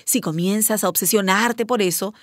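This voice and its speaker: A woman's voice: medium pitch, moderate pace, no echo, studio quality, natural voice. A woman playing a frank, playful doctor who hosts a sex-advice radio show, chatty and emphatic. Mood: frank, reassuring. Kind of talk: radio drama advice programme.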